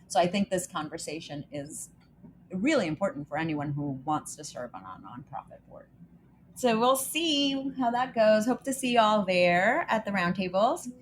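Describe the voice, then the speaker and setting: A woman's voice: mid-range voice, expressive, moderate pace. A chatty woman co-hosts a podcast in a casual, upbeat way, her voice sitting in a middle range. She comes from El Salvador and reads short news items aloud, reacting as she goes. Faint noise hums under the recording. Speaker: podcast co-host.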